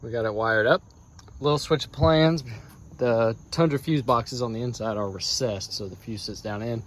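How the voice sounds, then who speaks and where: A man's voice: medium pitch, moderate pace. An American man talking casually and thinking aloud as he hosts a hands-on truck tutorial in a folksy, informal way. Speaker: DIY truck vlog host.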